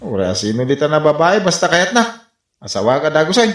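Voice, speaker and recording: An actor playing a lovesick, exasperated son with a Filipino accent in a comedy radio drama, whiny and theatrical. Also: male voice, a little high, very animated, brisk, a bit bright. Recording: roomy echo, faint music.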